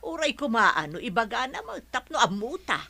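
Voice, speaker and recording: A woman's voice: fairly high pitch, big pitch swings, a little fast, gravelly, pushed and bright, full character voice. A woman voicing a concerned, helpful household maid in an audio comedy drama.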